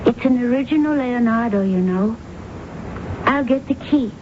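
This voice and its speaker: An actress playing a haunted, grief-broken woman, prematurely aged and ruled by her dead father, in intense, halting 1970s radio drama style. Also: fairly high pitch, natural voice. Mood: distant, formal. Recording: dull, noisy old radio recording.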